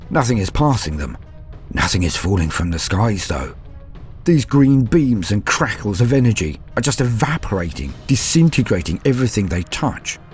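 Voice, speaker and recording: A man's voice: medium pitch, a little mellow, close to an everyday voice. A man playing a fearful, despairing human soldier, telling his story in grave, theatrical first-person narration. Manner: grave, theatrical, deliberate phrasing.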